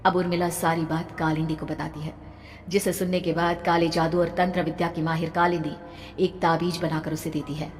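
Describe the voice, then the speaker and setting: Low-pitched woman, dramatic horror narrator. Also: little pitch movement, a little fast. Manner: dramatic storytelling. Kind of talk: scripted horror story narration. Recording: reverberant, with background music.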